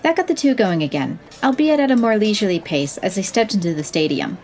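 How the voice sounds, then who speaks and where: A woman's voice: mid-range voice, animated, fast talker, a little mellow. A woman reading scripted narration for a fantasy audio story.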